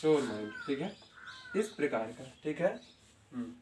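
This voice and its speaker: A man teaching everyday English sentences, repeating each phrase slowly and asking if the students follow. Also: mid-range voice, sing-song, a little mellow, reverberant, recorded from a distance, a little hiss or hum. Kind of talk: spoken English lesson in Hindi.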